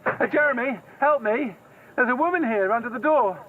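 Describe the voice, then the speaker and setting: A woman acting a shaken but determined, compassionate survivor in a horror audio drama, halting and stammering through her words. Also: on the high side, lively intonation, narrow, tinny audio. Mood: distressed. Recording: noisy outdoor scene effects.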